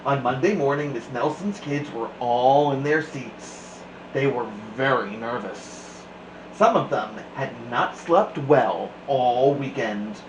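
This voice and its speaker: A man hosting a children's storytime, reading aloud with deliberate, theatrical emphasis. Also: medium pitch. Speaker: storytime host and reader. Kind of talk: expressive read-aloud narration for children. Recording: echoey room with faint noise.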